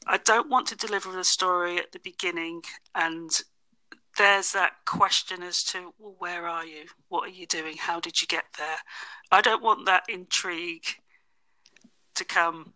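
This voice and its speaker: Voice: mid-range voice, steady tone, measured pace, sharp tone, no room sound, poor audio. A guest on a podcast discussion, speaking emphatically about personal experience.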